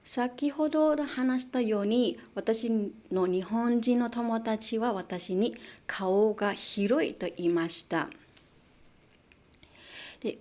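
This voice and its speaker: A woman from Malaysia teaches a live online Japanese class, speaking into a computer mic. She repeats key words and poses questions to her viewers, moving between English and Japanese. Her voice sits at a middle pitch for a woman. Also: dark tone.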